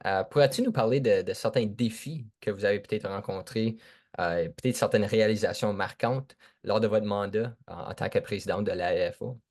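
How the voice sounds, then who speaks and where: Low-voiced Franco-Ontarian male podcast host. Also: normal speed, darkish tone. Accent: Franco-Ontarian French. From Sturgeon Falls, Northern Ontario. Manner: informal, short affirmations, slips between tu and vous. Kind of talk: casual podcast interview.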